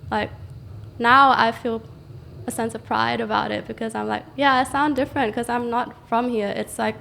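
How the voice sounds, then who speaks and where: A woman's voice: a little high, fairly even intonation, moderate pace, vocal fry, pushed and bright, slight background noise. A young woman from Thailand with an accent that mixes Thai and Australian, talking reflectively in a casual interview with many likes and ums. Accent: mixed Thai and Australian. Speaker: guest, tattoo artist and teacher.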